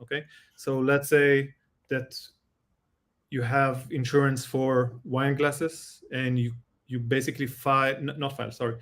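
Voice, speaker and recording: A man's voice: mid-range voice, level tone, a little slow, a bit bright. A man with an Israeli accent, a guest expert explaining things step by step in a live Q&A, with many fillers and restarts.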